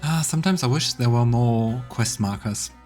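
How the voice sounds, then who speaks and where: A man hosting a video game let's play, thinking aloud in a relaxed, wry way as he plays. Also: medium pitch, normal speed. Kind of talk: casual gameplay commentary. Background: game music.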